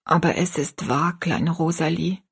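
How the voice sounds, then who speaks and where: Woman doing a dying gentle mother in a storybook, slow breathy half whisper, resigned n tender. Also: sits low, steady tone, heavily acted. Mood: sad, grave. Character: gravely ill mother, gentle, resigned, pious.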